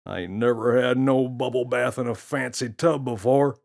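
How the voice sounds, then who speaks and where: A man's voice: mid-range voice, normal speed, vocal fry, darkish tone, close to an everyday voice. A man voicing a cocky, mischievous talking gorilla with a slangy, teasing, swaggering delivery. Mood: joking.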